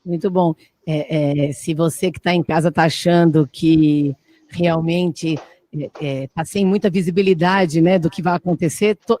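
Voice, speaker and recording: A woman moderating a webinar panel, briskly passing the floor to each speaker. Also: lowish voice, dark tone.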